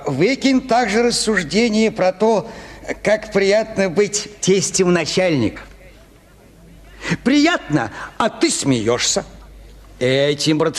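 A man's voice: sits high, heavily acted. A man acting a cautious, grumbling petty official in a radio play, querulous and admonishing. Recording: reverberant hall-like studio.

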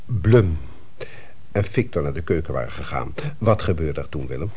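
A man playing a probing doctor with a husky voice, questioning a witness in a radio drama. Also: extremely low pitch, expressive, normal speed, darkish tone, highs cut off, heavily acted. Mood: probing, curious.

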